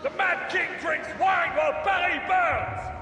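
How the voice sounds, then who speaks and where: An agitated, bitter jester, theatrical in the game-drama way. His voice is very high and reverberates in a big hall over music. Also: steady tone, a little slow, pushed and bright, full character voice. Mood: accusing, bitter. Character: frantic, bitter jester, nervous townsman of Paris. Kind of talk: dramatic video game cutscene acting. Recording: echoing hall, music behind.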